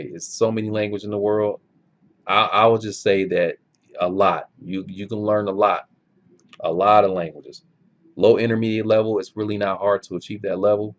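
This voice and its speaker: Low-voiced man, easygoing chat. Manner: easygoing, thinks aloud, loose and informal. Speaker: language-learning channel host answering viewer questions. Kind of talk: casual unscripted chat. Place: filming himself on camera at home. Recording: dull lo-fi recording.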